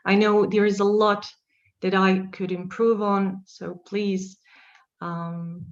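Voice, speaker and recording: A woman's voice: mid-range voice, flat delivery, takes it slowly, dull audio. A woman hosting a workshop, introducing the guest with enthusiastic, gushing praise. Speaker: workshop host.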